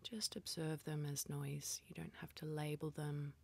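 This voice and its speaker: Breathy, hushed woman guiding meditation. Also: low-pitched. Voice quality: soft, breathy, whispery. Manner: hushed, calm, gently instructing with pauses. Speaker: meditation guide. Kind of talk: guided meditation.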